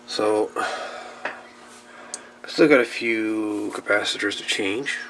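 A man giving casual, unscripted narration at a workbench in a halting, muttering way as he works. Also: on the low side, fairly bright tone. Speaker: hobbyist host of radio repair videos. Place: indoor workbench. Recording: noisy home-camera audio with clicks.

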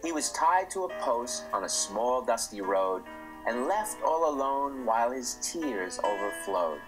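A middle-aged man, the author, reading his rhyming children's book aloud in a lively, expressive way. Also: mid-range voice, unhurried, over music, rough recording.

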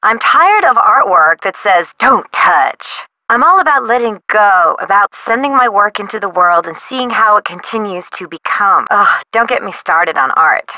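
A woman's voice: medium pitch, lively intonation, unhurried, few pauses, sharp tone, no room sound, poor audio. An American woman voicing a young artist in a video game, flippant and theatrical, with breezy slang. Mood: flippant, dramatic. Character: flippant, dramatic young bohemian female artist. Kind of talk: video game character dialogue.

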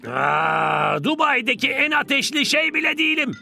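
An actor playing a vain, cocky star footballer in a cartoon dub, drawling theatrically. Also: male voice, very high-pitched, big pitch swings, moderate pace, creaky, pushed and bright, soft background music, full character voice. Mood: boastful. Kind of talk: Turkish animated cartoon dub.

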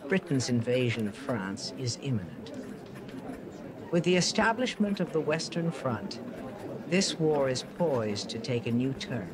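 A man's voice: mid-range voice, sing-song, measured pace, background noise. A man voicing a determined, defiant female war correspondent in earnest, solemn first-person narration. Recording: voice-over under loud music.

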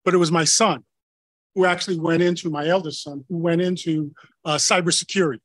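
A man's voice: fairly high pitch, steady tone, fairly quick, fairly bright tone, no echo. A man in his sixties, born in Honduras with Spanish as his first language, speaking without an accent as he shares his experience on a panel. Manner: conversational, storytelling. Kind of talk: personal account on a panel.

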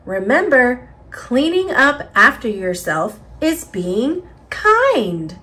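A woman reading a children's picture book aloud with careful, expressive delivery for young listeners. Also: a little high, slow pace. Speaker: storybook reader.

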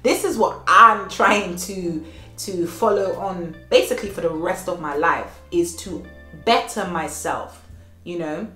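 A woman in her thirties talks earnestly to camera about life lessons, nursing a slight cold. Her voice is bright and sits a little low. The room is echoey, with faint music behind her. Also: unhurried. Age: 30s. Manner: earnest, repeats phrases for emphasis. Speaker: vlogger. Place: at home, child playing in next room.

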